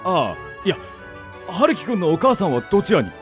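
A man's voice: high voice, very animated, fairly quick, music in the background. A man acting an earnest, easily flustered yakuza underling, stammering and melodramatic in a radio drama. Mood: flustered, curious. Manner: stammering, melodramatic, rough henchman's slang.

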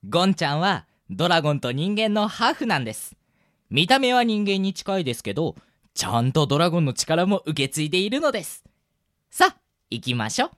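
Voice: medium pitch, very animated, brisk, no room sound, studio quality, lightly acted. A performer in an amateur radio drama playing a polite male rabbit guide who explains things in formal speech. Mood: polite, chirpy.

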